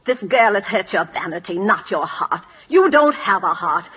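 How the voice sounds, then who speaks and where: An actress playing an earnest, outspoken schoolteacher at a royal court, with precise, emotional diction in a 1940s radio style. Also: female voice, medium pitch, lively intonation, moderate pace, bright, forceful tone, husky. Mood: impassioned, indignant. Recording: dull, lo-fi old radio recording.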